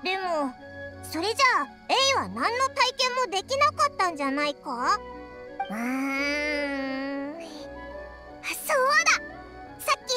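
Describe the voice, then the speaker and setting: A woman voicing a small, excitable, childlike fairy companion in a Japanese anime game, squeaky and brash, with boyish phrasing. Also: measured pace, long pauses. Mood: puzzled, then excited. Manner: exclamatory, brash boyish phrasing, stammers when flustered. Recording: game music playing behind.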